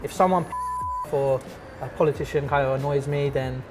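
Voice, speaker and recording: A man, an artist and teacher chatting casually in an interview, relaxed and full of fillers and restarts. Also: on the high side, moderate pace, over music. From London.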